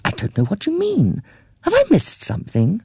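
A woman's voice: medium pitch, huge pitch swings, moderate pace, mellow, slightly rough, dry recording, clean studio sound, pitched above the performer's own voice, exaggerated voice. A man voicing a cheerful, patient woman in her fifties, speaking politely in a storybook reading. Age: 50s. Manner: polite, coaxing. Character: patient, cheerful middle-aged niece.

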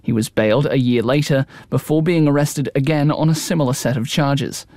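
A man's voice: medium pitch, brisk, a little mellow, no room sound. Man, New Zealand accent, reporter, formal, scripted, dry. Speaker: court reporter.

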